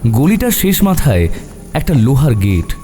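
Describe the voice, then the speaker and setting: A man's voice: mid-range voice, big pitch swings, measured pace. A man narrating a scripted horror story with suspenseful, dramatic phrasing. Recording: long reverb over eerie music.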